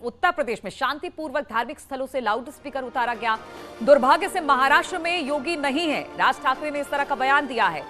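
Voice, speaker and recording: A woman's voice: high-pitched, pushed and bright. A woman news anchor reading broadcast news in an emphatic, formal way, restating the key points. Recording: news music bed behind the voice. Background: news music.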